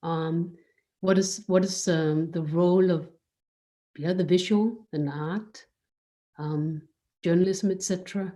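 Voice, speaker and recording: Female curator as interview guest: unhurried, reflective, searching for words, relaxed lowish voice. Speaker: curator and art space director, guest.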